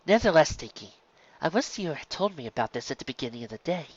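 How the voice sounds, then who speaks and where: Kindly storybook schoolteacher pony, read by a woman, quiet, gentle and measured, lively pitch, slightly muffled sound. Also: husky, no room sound, heavily acted. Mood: reassuring. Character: kindly female pony schoolteacher, patient and concerned.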